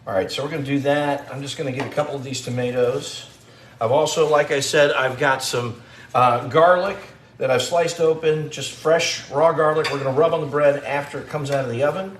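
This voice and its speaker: A man's voice: mid-range voice, steady tone, a little fast. A middle-aged man talks viewers through a simple recipe, chatting easily and greeting them by name. His voice sits in the middle range. The kitchen sounds roomy and echoey, with faint background noise. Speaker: cooking show host. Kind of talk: casual live cooking demo. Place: home kitchen.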